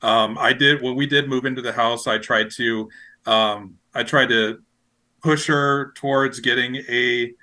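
A man's voice: medium pitch, fairly even intonation, unhurried, bright, forceful tone. A man chatting casually as a radio station operator in an interview, rambling and repeating his phrases as he feels for words. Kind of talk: casual podcast interview chat.

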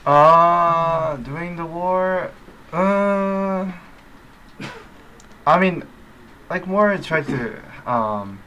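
Bright-voiced hesitant actor. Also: male voice, fairly high pitch, drawn-out words, pauses often, a little hiss or hum. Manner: hesitant, many fillers. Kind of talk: interview answers.

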